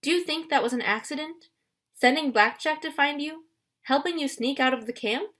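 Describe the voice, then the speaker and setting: A woman's voice: high voice, normal speed, a bit bright, pitched above the performer's own voice. A woman voicing a vain, gushing goddess of love in a storybook reading, swooning and theatrical.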